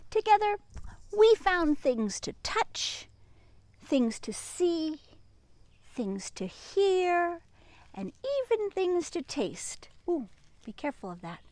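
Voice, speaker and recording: A woman teacher reading a picture book aloud and chatting to young children in an expressive, sing-song manner, with hushed asides. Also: very high voice, takes it slowly.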